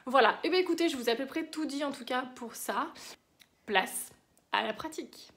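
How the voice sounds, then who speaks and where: A woman's voice: sits high, lively intonation, normal speed, fairly bright tone. A woman giving a casual makeup tutorial, chatty and explanatory, often correcting herself as she goes. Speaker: beauty vlogger.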